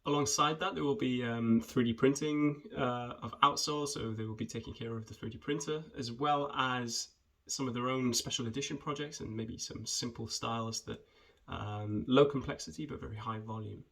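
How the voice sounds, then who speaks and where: A man, talking in a friendly, conversational way with hesitant pauses as he introduces himself and his work for students. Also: mid-range voice, fairly even intonation, gravelly, fairly bright tone. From rural southwest Wales. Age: 20s. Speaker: junior footwear developer. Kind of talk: informal self-introduction video for students.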